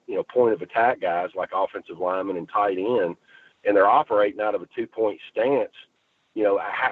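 Husky man on a tinny phone line. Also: lowish voice, no echo. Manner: halting, filler-heavy, folksy explaining. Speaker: football analyst. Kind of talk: sports talk commentary.

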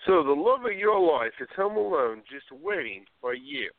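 An actor playing a playful, teasing schoolteacher with a droll, theatrical manner in a radio play. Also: male voice, on the high side, sing-song, normal speed, no echo. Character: playful bearded schoolteacher, a woman's pretend boyfriend. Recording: narrow phone-like sound.

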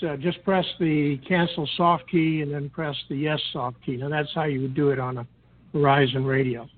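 Male, slightly high voice, methodical explainer, measured delivery, thin telephone-grade audio. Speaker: guest explaining radio procedures. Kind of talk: instructional explanation. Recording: tinny narrow-band phone-like line.

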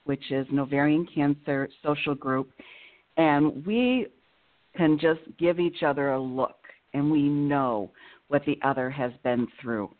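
A woman from Illinois, a nurse and cancer survivor, telling her story as a guest calling in to a radio interview. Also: sits low. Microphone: phone. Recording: tinny phone line.

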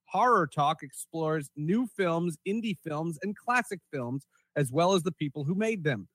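High-voiced man hosting a podcast. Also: expressive, moderate pace, a bit bright, no room sound. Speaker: podcast host. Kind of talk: casual banter and scripted show introductions.

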